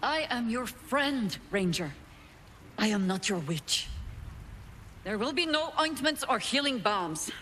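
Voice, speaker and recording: An actress playing a stern, world-weary older female druid in a video game, with a dry, measured, sardonic manner. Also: a little high, expressive, fairly bright tone, slight background noise. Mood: stern, exasperated. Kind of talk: theatrical video game dialogue.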